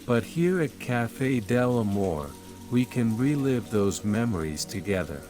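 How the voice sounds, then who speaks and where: Calm guy reading a bedtime story real slow, soft music and rain behind him. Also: mid-range voice. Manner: calm, measured, unhurried storytelling. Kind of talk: slow, soothing scripted narration of a sleep story. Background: calm music and rain sounds.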